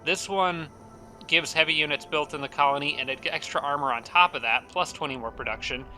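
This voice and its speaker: A man commentating his strategy game playthrough, thinking aloud with many fillers and restarts, his voice a little raspy from a dry throat. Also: on the high side, a little fast, bright, forceful tone, soft background music. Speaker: strategy game let's-play host. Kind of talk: casual gameplay commentary.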